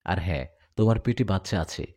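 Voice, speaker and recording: A man's voice: low-pitched, lively intonation, fairly quick, a little mellow, voice pushed down. A man voicing a devoted warrior jinn husband in an audio story, speaking in an earnest, dramatic, confessional way. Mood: gentle, reassuring.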